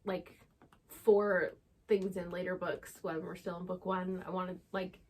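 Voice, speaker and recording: A woman's voice: on the low side, level tone, a little slow, darkish tone. A woman co-hosting a book chat, explaining things conversationally with plenty of filler "like". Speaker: book channel co-host.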